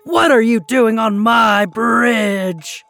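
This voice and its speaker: A woman voicing an enormous angry male troll who shouts gruff, forceful commands in a children's story. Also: a little high, slow, soft background music, heavily acted. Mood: angry, menacing.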